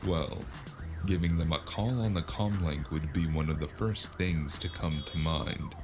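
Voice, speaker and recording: A man with a gravelly voice narrating a cyberpunk noir audio drama in the first person, as a weary, wry gunman. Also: extremely low pitch, measured pace. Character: weary, wry male cyberpunk gunman telling his own story. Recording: music under the voice.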